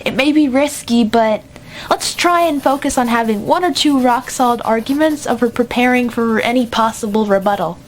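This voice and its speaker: A woman playing a bossy, sardonic teenage debate team captain in an amateur radio drama, brisk and dry. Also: lightly acted. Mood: dry, focused. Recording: clear background noise with low rumble.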